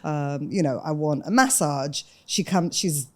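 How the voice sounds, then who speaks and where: Low, mellow London woman in casual chat. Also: unhurried. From London, UK, of Sudanese parents. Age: 40s. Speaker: podcast guest.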